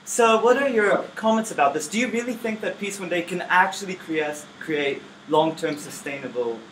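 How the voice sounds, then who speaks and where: A man from Israel poses his question in a composed, articulate way. His voice is high for a man. The room around him is echoey. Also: expressive, moderate pace, a bit bright, slight background noise. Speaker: student asking a question.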